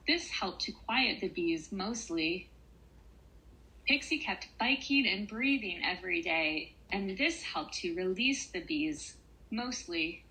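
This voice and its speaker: A woman children's author reading to young listeners with deliberate phrasing and gentle pauses. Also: mid-range voice, low-quality recording. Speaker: children's author reading her own story. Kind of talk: storybook narration for children.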